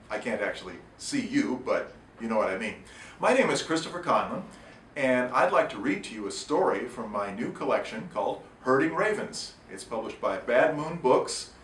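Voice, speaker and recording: A man reading his own short story aloud in an expressive, wry and deliberate storytelling manner. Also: mid-range voice. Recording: echoey room, distant mic, faint noise.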